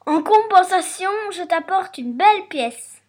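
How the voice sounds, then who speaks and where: A man voicing a tiny, plucky boy from a storybook, exclaiming and pleading in a squeaky falsetto. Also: expressive, measured pace. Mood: eager, insistent. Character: tiny, plucky thumb-sized boy crying out for help.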